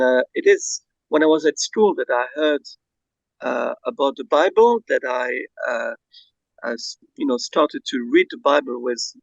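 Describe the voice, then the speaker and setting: A Belgian-born man whose first language is Dutch, a guest speaking softly and hesitantly with many fillers over a video call. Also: mid-range voice, vocal fry, dry recording, highs cut off.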